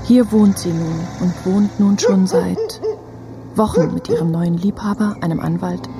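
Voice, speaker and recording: A woman narrating a radio drama in a measured, literary, repetitive manner. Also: fairly low pitch, lively intonation, a loud crowd. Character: detached female storyteller linking scenes. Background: music.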